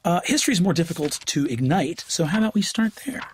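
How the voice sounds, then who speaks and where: A man's voice: fairly high pitch, expressive, fast, a little hiss or hum, lightly acted. An actor playing an awkward, eager young student tutor in an audio drama, stammering and joking as he rambles. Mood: nervous, upbeat. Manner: hesitant, stammering, jokey rambling. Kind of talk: naturalistic audio drama acting.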